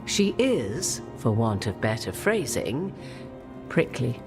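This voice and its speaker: A woman playing a regal, worldly-wise witch in a fantasy game, with formal, archaic and measured phrasing. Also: extremely low pitch, sing-song, heavily acted. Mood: measured, explanatory. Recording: music under the voice.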